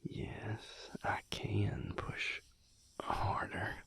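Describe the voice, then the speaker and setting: A man playing a tender, teasing vampire lover in an intimate audio roleplay, murmuring breathily and soothingly with drawn-out pauses, close to the microphone. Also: fairly bright tone. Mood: teasing, gentle. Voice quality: breathy, gravelly whispered murmur.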